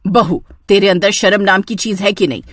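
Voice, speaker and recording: A woman voicing an exasperated older mother-in-law in a family story, sharp and reproachful. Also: medium pitch, moderate pace, no room sound, natural voice. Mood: angry scolding.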